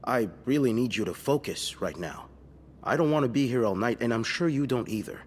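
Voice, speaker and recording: A man's voice: mid-range voice, expressive, brisk, slight background noise, close to an everyday voice. A man playing a skeptical police detective in a video game, questioning pointedly and methodically. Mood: impatient. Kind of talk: naturalistic video game acting.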